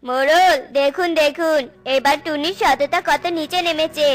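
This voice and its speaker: A woman's voice: sits high, steady tone, normal speed, natural voice. A woman voicing a scheming, jealous crow in a cartoon, sneering and gloating. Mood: accusing. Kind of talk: cartoon voice acting.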